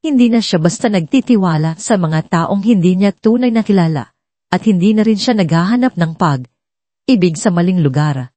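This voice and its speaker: A woman reading scripted dramatic story narration in an earnest, measured manner. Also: fairly low pitch, lively intonation, mellow, no room sound.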